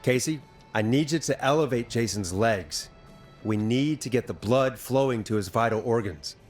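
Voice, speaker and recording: An actor playing a steady, reassuring but urgent radio host in a horror game drama. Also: male voice, medium pitch, normal speed, soft background music, lightly acted. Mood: firm, steady. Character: calm, earnest male 1980s radio DJ in crisis.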